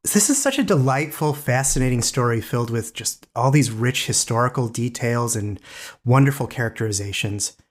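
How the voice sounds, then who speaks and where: A man's voice: medium pitch, expressive, moderate pace. A man hosting a literary podcast, reading scripted introductions and putting questions to a guest. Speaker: podcast host and editor.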